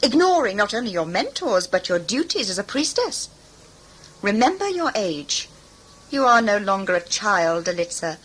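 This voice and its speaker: A woman's voice: mid-range voice, big pitch swings, moderate pace, fairly bright tone, breathy edge, poor audio. A woman playing a strict, formal mentor scolding a young priestess in a sci-fi audio drama. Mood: stern, scolding.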